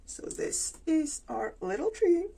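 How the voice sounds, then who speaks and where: Slow-speaking woman. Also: high-pitched, very animated, vocal fry, warm tone. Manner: slow, thinking aloud with pauses and fillers. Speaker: planner vlogger. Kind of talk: casual plan-with-me narration while crafting.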